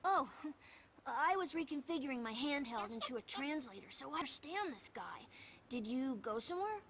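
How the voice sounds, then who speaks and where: A woman voicing a gentle, brainy young male fox inventor, earnest and boyish, in a video game cutscene. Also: high voice, lively intonation, normal speed, background noise. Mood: focused, curious.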